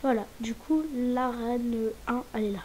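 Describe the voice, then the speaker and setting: A fairly high-pitched woman gives a casual walk-through of a game server, sounding a little stuffy from a cold. She talks hesitantly, restarts her sentences and keeps dropping into whispered asides. A faint hiss sits under her voice. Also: fairly even intonation, normal speed, warm tone. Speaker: gaming channel host. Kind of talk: casual gameplay tour.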